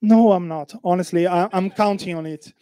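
A man's voice: high voice, steady tone, moderate pace, dark tone, no room sound, clean studio sound. A man in his forties moderating a panel, chatty and joking, using a handheld mic in a meeting room. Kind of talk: panel conversation.

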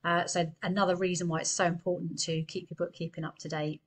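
A woman's voice: lowish voice, flat delivery, fast talker. A woman in her thirties, an accountant, giving earnest, chatty business advice straight to a webcam in a small room. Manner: chatty, earnest, gesturing with hands. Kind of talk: direct-to-camera business advice.

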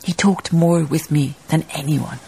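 An actress in a radio drama playing a reflective, melancholy Danish baroness narrating her life in a slow, musing, literary manner, husky and breathy. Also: low-pitched, steady tone, warm tone, slight background noise, poor audio. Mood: wistful.

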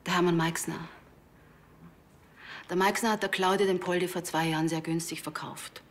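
Actress as a serious, lower-voiced woman witness. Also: steady tone, moderate pace, a little hiss or hum, natural voice.

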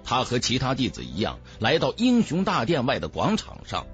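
A man narrating an audiobook in a dramatic, theatrical, expressive storyteller's manner. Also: medium pitch, moderate pace, soft background music.

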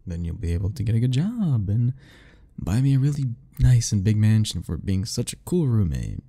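A man's voice: on the low side, very animated, moderate pace, mellow. A young man doing an ASMR roleplay as a teasing, easygoing roommate talking to the listener, laughing often.

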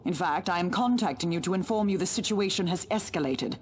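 A woman playing an imperious, threatening Inquisitor in a video game, speaking in a measured, formal way. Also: fairly low pitch, lively intonation, darkish tone, slightly rough. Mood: cold, formal. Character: stern, cold female Inquisitor, imperious and threatening. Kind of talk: dramatic video game cutscene acting.

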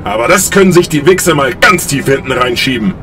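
A man acting a gruff, foul-mouthed legion commander giving a martial briefing in a science fiction audio drama. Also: mid-range voice, animated, a little fast, a bit bright, slight background noise, lightly acted. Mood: fierce, scornful.

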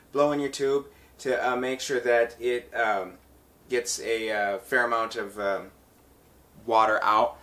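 A man's voice: mid-range voice, sharp tone. A man hosting an instructional video, talking casually and informally to his viewers as he explains a hands-on process. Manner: informal, addresses viewers as guys and folks. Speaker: talk box tutorial host, musician.

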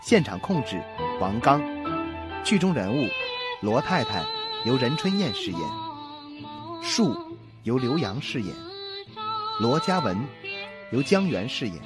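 A man's voice: medium pitch, big pitch swings, a little slow, pauses often. A man announcing, reading out scripted credits. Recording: loud music over the voice.

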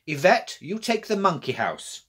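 A man voicing a brisk, kindly, bossy French granny in a children's story, with a commanding, decisive manner. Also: on the high side, sing-song, fairly bright tone, husky, pitched above the performer's own voice, heavily acted.

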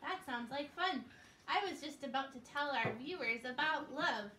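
A woman's voice: high voice, moderate pace, sharp tone. A woman hosting a children's show, speaking simply and encouragingly as she tells a scripted story in a living room. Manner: simple, encouraging talk addressed to small children. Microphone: distant camera. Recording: echoey, noisy room, distant camera microphone.

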